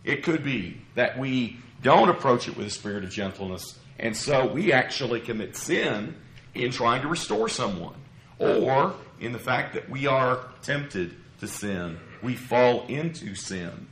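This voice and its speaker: A man preaching an expository sermon in a measured, earnest way, his voice a little scratchy from a cough. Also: medium pitch, animated, fairly bright tone. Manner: measured, earnest, explanatory with rhetorical questions. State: slightly scratchy from a lingering cough. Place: church pulpit, roomy indoor space. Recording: echoey room.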